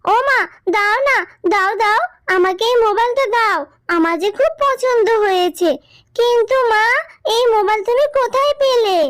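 A performer voicing an excitable, whiny little girl fox cub in a cartoon, pleading and childlike. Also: very high-pitched, normal speed, no echo, studio quality. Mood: delighted.

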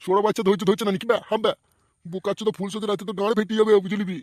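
A man's voice: high-pitched, fairly even intonation, very fast, darkish tone, no room sound, clean studio sound. A man voicing a bossy, scolding, foul-mouthed older male character in a slapstick comedy cartoon. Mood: irritated. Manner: scolding, blustering, swearing.

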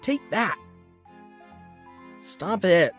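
A man's voice: high voice, animated, drawn-out words, faint music behind, exaggerated voice. An actor voicing brash, jeering young male toughs in a manga dub. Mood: rowdy. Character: rowdy, mocking teenage boys and young thugs. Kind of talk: manga dub character acting.

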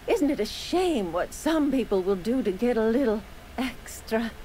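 A woman's voice: a little high, animated, moderate pace, dark tone, a little hiss or hum, natural voice, poor audio. A woman voicing a sugary older auntie in a video game, a dispensary pharmacist who coos and dotes with pet names. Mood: prim, apologetic. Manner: cooing, doting, uses pet names. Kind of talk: video game character acting.

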